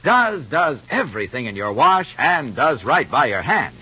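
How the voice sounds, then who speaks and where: A man with an American accent reading as a scripted 1950s radio announcer, emphatic and deliberate. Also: medium pitch, sing-song, sharp tone, slightly rough. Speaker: announcer and narrator. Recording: dull vintage radio recording.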